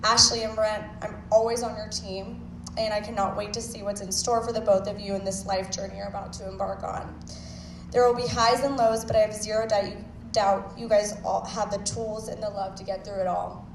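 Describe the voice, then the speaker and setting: A woman's voice: mid-range voice, flat delivery, a little fast, background noise. A woman from the bride's family giving a heartfelt wedding toast to the couple. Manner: warm, heartfelt, laughing. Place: wedding reception hall. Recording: echoey hall, from far off.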